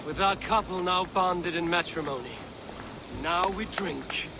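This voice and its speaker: A man playing a steady, honorable Viking clan leader in a video game, speaking in a measured, formal way. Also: sits high, very noisy. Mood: ceremonial. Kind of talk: dramatic game dialogue acting.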